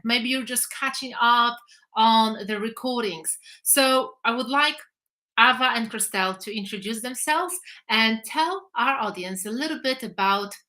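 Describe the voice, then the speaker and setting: A woman hosts an online workshop, her voice bright and forward and sitting a little high. She welcomes her guests with formal enthusiasm, and the sound comes through a video call. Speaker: workshop host.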